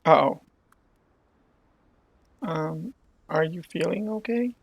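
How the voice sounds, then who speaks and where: A woman's voice: lowish voice, takes it slowly, creaky, slightly rough, no echo, lo-fi sound. A woman doing casual gameplay commentary, reacting aloud and reading out dialogue as she plays. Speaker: game streamer.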